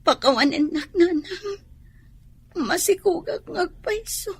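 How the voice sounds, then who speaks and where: Slow, high-pitched, meek young Filipino woman. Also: expressive, heavily acted. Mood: tearful, sorry. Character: pregnant, ailing young daughter, meek and pleading. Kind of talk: radio drama acting.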